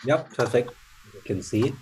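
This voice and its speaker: A man hosting an online talk session, introducing the speaker in a casual, halting way. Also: medium pitch, lively intonation, background noise. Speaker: event host introducing speaker. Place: online video call.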